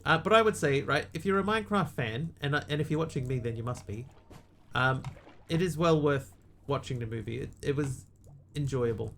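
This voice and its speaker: A man's voice: mid-range voice, animated, fairly quick, vocal fry, fairly bright tone, a little hiss or hum. Dry-humored New Zealand man streaming. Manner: dry, self-mocking, chatty asides to viewers. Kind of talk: casual live-stream commentary while gaming.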